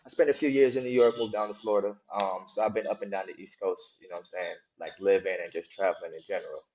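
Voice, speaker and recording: A man's voice: sits low, steady tone, quick pace, a little mellow, rough recording. A young man from Guyana, a guest musician, talking casually in an interview and often saying "you know what I'm saying".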